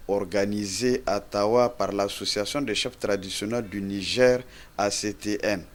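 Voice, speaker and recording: A man reading a scripted news report as a narrator. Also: fairly low pitch.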